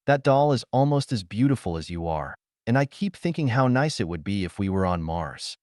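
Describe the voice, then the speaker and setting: A man's voice: on the low side, big pitch swings, a little fast, a little mellow, no room sound, clean studio sound. A man playing a flippant, wisecracking television producer in an audiobook, with airy, sarcastic banter. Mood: teasing. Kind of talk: audiobook character dialogue.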